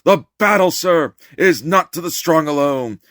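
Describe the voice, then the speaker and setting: A man acts out an impassioned American revolutionary orator of the eighteenth century. The voice is fairly high for a man, bright and forceful, captured close and dry. The delivery is declamatory and emphatic, a dramatic political address calling listeners to war. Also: expressive, measured pace, no room sound. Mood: stirring, resolute. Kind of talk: dramatic reading of an 18th-century political speech.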